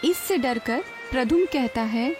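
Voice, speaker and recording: A woman's voice: high voice, lively intonation, unhurried. A woman narrator reading a scripted story. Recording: voice over background music.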